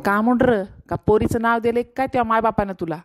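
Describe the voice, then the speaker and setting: Bossy woman-acted male stunt-show host, high-pitched. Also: steady tone, brisk, faint music behind, close to an everyday voice. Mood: mocking, bossy. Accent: rural Marathi. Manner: gruff, mocking, bullying banter. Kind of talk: comedy cartoon voice acting.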